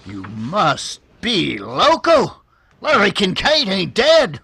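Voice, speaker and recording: Man playing a raspy, blunt sheriff. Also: high-pitched, huge pitch swings, slow, bright, forceful tone, no echo, full character voice. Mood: challenging. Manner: blunt, folksy. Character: blunt, tough western sheriff, weary and stern.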